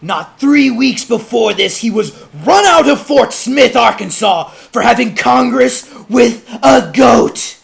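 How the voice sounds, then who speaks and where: Man putting on a thundering, high-pitched, righteous orator. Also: animated, vocal fry, heavily acted. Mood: thundering accusation. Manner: declamatory, formal, deliberate oratory. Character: imposing orator denouncing a preacher, loud, righteous, coldly mocking.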